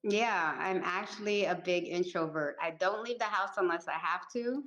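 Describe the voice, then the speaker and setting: A woman's voice: on the low side, normal speed, fairly bright tone, echoey room, muffled recording. A woman in her forties who grew up in the Northeast, answering interview questions in a laid-back, straightforward way. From Puerto Rico, raised in the Northeastern US. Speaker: guest, life coach.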